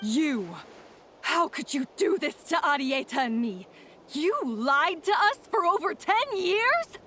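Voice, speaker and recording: A high, very animated voice of a fiery, betrayed wife in a video game. She scolds and gives orders emphatically. There is faint ambient noise behind her. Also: takes it slowly, full character voice. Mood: outraged.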